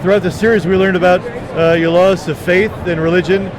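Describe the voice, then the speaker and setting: A man doing a casual fan interview, halting, nervous and starstruck, as he puts questions to his guest. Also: sits high, steady tone. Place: busy fan convention. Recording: noisy convention floor with crowd chatter.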